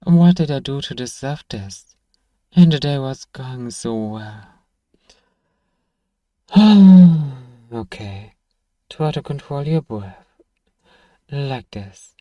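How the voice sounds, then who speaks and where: A man's voice: mid-range voice, expressive, slow, long pauses, no echo, highs cut off. A man playing an irritable, sarcastic fantasy apothecary in an ASMR roleplay, stern and given to exasperated sighs. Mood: exasperated, restrained. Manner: stern, sardonic, exasperated sighing.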